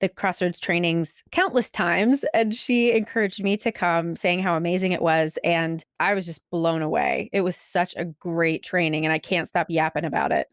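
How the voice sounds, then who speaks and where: A woman's voice: on the low side, fairly even intonation, normal speed, hardly any pauses, no echo, studio quality. A woman hosting a podcast interview from home, chatty and effusive, often laughing. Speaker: podcast host. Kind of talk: casual interview. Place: home, during lockdown.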